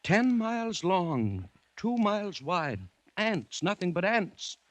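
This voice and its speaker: A man's voice: sits high, sing-song, no room sound, full character voice. An actor playing an earnest colonial district commissioner in a 1940s radio drama, formal and dramatic in his delivery. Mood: urgent warning. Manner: urgent, measured, formal, dramatic. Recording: restored 1940s radio recording, music behind.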